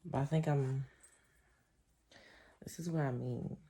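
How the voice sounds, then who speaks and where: Sleepy deep-voiced woman, gravelly. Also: steady tone, takes it slowly, a little hiss or hum. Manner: reflective, rambling, soft-spoken. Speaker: vlogger. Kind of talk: casual chat to camera. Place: top-floor apartment at home. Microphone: camera. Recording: echoey room.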